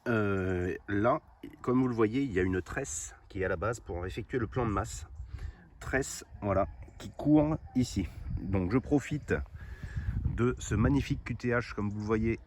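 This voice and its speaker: A man with a fairly low voice talks through his gear like a casual vlogger. He goes haltingly, thinking aloud. The outdoor camera recording carries clear ambient noise. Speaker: vlogger presenting radio gear. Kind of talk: casual hands-on gear presentation. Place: outdoors on high ground.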